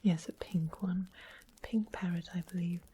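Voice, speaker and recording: A woman voicing a sweet, mischievous witch in an ASMR fantasy roleplay, in a breathy whisper with an unhurried, polite, coaxing manner. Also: lowish voice, fairly even intonation, dark tone, slight background noise, natural voice. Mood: softly informative. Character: sweet-smiled, mischievous witch of the west, softly sly.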